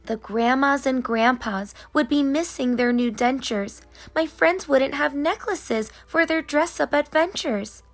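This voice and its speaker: A woman's voice: sits high, a little slow, faint music behind. Woman reading as a whimsical storybook tooth fairy. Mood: queasy, worried. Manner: sing-song rhyming delivery. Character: female tooth fairy, busy, whimsical, gently scolding. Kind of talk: children's storybook narration in character.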